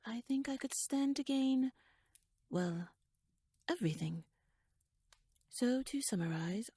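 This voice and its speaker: Casting: actress as wry, scheming royal lady for ASMR roleplay; husky, breathy voice, formal and wordy, very close dry sound. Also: medium pitch, darkish tone, dry recording. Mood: wry, persuasive. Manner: wordy, formal, rhetorical, musing. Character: shrewd, talkative, scheming royal noblewoman, playfully wry. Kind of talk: ASMR audio roleplay monologue addressed to the listener.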